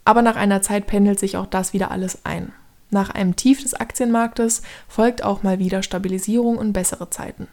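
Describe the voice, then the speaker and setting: Thirty-year-old woman with a creaky voice. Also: medium pitch, steady tone, fairly quick, a little mellow. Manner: structured, instructive, addressing the listener directly. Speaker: podcast host explaining personal finance. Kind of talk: scripted explanatory narration.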